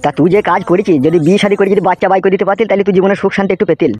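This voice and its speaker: A man's voice: high-pitched, fairly even intonation, very fast, a little mellow, faint music behind, lightly acted. A man voicing a blunt, foul-mouthed, world-weary young henchman in an amateur comedy cartoon. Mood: reassuring, bossy. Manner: blunt, swearing, rural colloquial talk.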